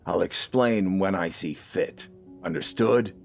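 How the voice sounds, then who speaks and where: Guy doing a bossy army corporal for an audio story, gruff n sarcastic, barks curt orders, low voice. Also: takes it slowly, faint music behind, exaggerated voice. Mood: stern, commanding.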